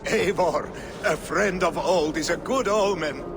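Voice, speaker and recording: An actor playing a loyal, jovial and proud Norse warrior in a video game, speaking in colorful, declamatory phrases. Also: male voice, a little high, unhurried. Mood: friendly. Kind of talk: dramatic video game acting. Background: music.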